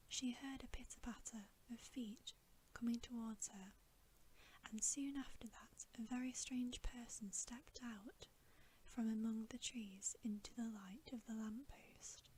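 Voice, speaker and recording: A woman reading a bedtime story as ASMR in a soft, breathy whisper, gentle and soothing, close to the microphone. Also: fairly high pitch, a little hiss or hum, rough recording.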